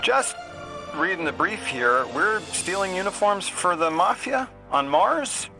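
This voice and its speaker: Earnest, lively young scholar over loud music. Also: male voice, high voice, a little slow, gravelly, full character voice, poor audio. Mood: curious. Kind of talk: video game cutscene acting. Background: loud cinematic music.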